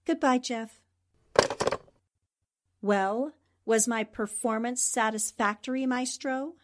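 A woman playing a plucky, sharp-tongued newspaper reporter in a radio drama, composed and wry. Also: a little high, slow, background noise. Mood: wry defiance.

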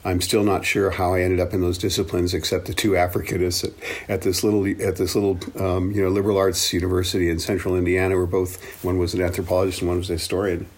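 Deep, gravelly older man telling his story. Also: little pitch movement, fast talker, darkish tone. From Belgian Congo. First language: English and Swahili. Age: 70s. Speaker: interview guest, African studies professor. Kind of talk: reflective personal storytelling.

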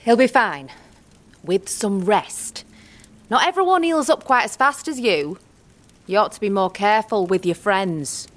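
A woman playing a gruff, wary old witch woman in an audio drama, blunt and teasing, speaking in rustic dialect. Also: mid-range voice, sing-song, unhurried. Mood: gruff, caring.

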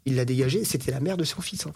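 Husky-voiced man telling lively stories. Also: medium pitch, quick pace. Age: 30s. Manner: animated anecdotes, laughs often, many fillers. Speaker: podcast guest. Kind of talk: casual chat, storytelling.

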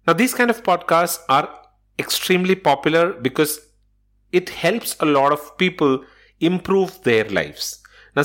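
A man's voice: fairly high pitch, a bit bright. A man hosting a solo podcast episode, explaining points in a methodical, instructional way from loose notes. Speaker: podcast host.

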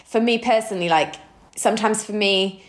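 A woman's voice: mid-range voice, normal speed, roomy sound. A woman co-hosting a podcast in casual chat, speaking in an effusive, emphatic and informal way. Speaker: podcast co-host.

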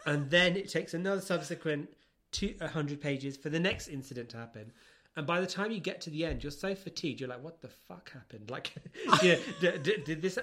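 A man's voice: on the high side, fairly bright tone. A man co-hosting a podcast in casual book-review chat, swearing freely with mock exasperation. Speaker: podcast co-host.

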